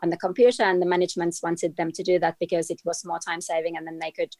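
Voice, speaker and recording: A woman from Finland, a trend forecaster talking as an interview guest, measured and full of hesitations. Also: fairly low pitch, flat delivery, darkish tone, dry recording. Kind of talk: casual interview.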